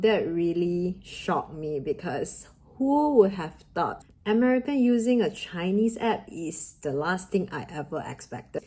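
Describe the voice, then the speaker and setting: A woman's voice: fairly low pitch, mellow. A young woman from Malaysia with a Malaysian accent, a Mandarin native speaker, earnestly giving a motivational talk in her vlog. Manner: earnest, encouraging, with grammar slips of a second-language speaker. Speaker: vlogger.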